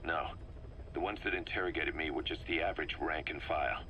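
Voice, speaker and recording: Deep, forceful mercenary commander. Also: male voice, fairly even intonation. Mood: wary, urgent. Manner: grim, intense, deliberate storytelling. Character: embittered, driven male mercenary commander briefing his boss. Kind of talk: dramatic video game voice acting, recounting events. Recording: faint noise, cassette tape recording.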